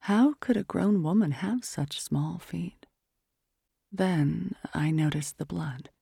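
A narrator reading a first-person literary story in a hushed, often whispered, measured manner. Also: mid-range voice, gravelly, husky, no echo, clean studio sound. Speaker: audiobook narrator.

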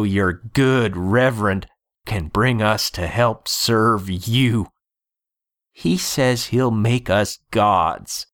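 A man voicing a wounded, bitter sorcerer doctor in an audiobook, raspy and strained, with grave, defiant delivery. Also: lowish voice, a bit bright, no room sound, clean studio sound. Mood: defiant, accusing.

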